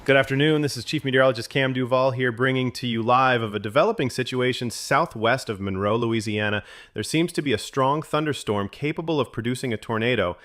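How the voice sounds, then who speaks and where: A man playing a TV chief meteorologist giving a halting live storm bulletin. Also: mid-range voice, a bit bright, natural voice. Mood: concerned. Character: male TV chief meteorologist warning of a tornado.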